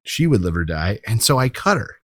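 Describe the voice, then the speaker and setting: A man's voice: lowish voice, big pitch swings, a little fast, a bit bright, no echo, studio quality. A man co-hosting a podcast, chatting casually and enthusiastically about a TV show. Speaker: podcast co-host.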